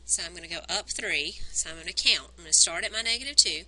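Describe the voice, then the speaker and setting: Bright-voiced math teacher, voice strained. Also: female voice, fairly low pitch, quick pace, rough recording. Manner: counting aloud step by step, patient explaining. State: strained, nearly losing her voice. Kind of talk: recorded math lesson, working problems on a graph. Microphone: phone recording.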